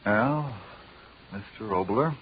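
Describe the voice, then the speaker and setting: An American man working as a radio announcer, delivering a polished, persuasive 1940s sponsor pitch. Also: expressive, slow, a little hiss or hum. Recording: muffled old radio broadcast recording.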